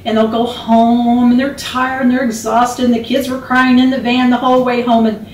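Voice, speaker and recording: A woman's voice: a little high, moderate pace, a little hiss or hum. A woman in her fifties, a beekeeping instructor, talking casually in a living room and gesturing as she speaks. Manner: chatty, animated, gestures with her hands. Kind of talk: casual conversation. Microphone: far camera. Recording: echoey room, distant mic.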